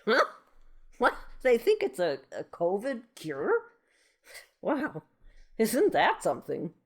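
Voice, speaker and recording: A woman's voice: on the high side, wildly swooping pitch, slow pace, breathy edge, full character voice. A woman playing a dotty remedy seller in a comic audio sketch, musing with exaggerated puzzlement. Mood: amused, baffled.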